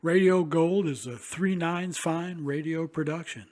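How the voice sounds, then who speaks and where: A man's voice: a little high, measured pace, a little mellow. A man presenting in a scripted documentary narration style.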